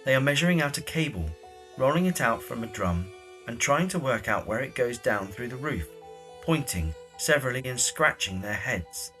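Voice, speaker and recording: A man's voice: medium pitch, animated, moderate pace, bright, forceful tone, faint music behind. A man narrating an audio drama, reading scripted scene descriptions in the present tense.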